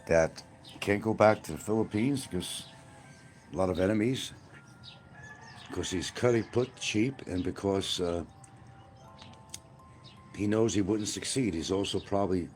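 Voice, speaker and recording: A man with a very deep, low voice talks bluntly on a phone livestream from outdoors beside a field. He rambles and repeats himself as he answers commenters. Faint outdoor sounds and birds drift in behind him. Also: darkish tone, slight background noise. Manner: blunt, combative, repetitive. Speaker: livestream host.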